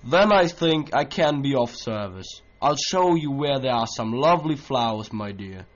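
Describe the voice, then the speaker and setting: A man's voice: medium pitch, expressive, normal speed, close to an everyday voice. A man voicing a sly male wolf who talks in a falsely polite, coaxing way, in a dull lo-fi recording.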